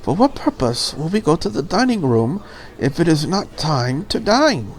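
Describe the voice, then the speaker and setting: A woman's voice: deep voice, big pitch swings, normal speed. Woman voicing a proud, stiff dragon girl ambassador. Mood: stiff, blunt. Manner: stiff, formal, solemn declarations. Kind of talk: visual novel character read aloud.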